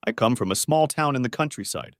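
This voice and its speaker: A man teaching beginner English, reading short model sentences clearly and saying each one twice. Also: mid-range voice, lively intonation, dry recording, clean studio sound. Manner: says each phrase twice, clearly and deliberately.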